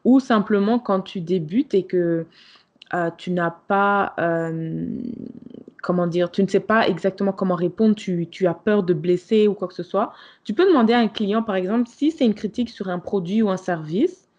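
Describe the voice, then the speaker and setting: A woman in a casual podcast chat who gives advice frankly and often restarts her sentences. Her voice sits at a middle pitch. The sound is dull and lo-fi. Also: normal speed, darkish tone. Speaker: podcast co-host.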